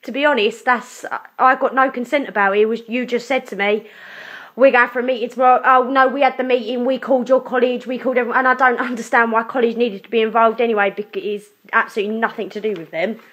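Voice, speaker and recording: A young woman speaks on a phone call as a client. Her voice sits a little high, and her manner is direct and challenging. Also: fairly even intonation, fast talker, fairly bright tone. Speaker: client of social services, a mother. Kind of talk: phone conversation.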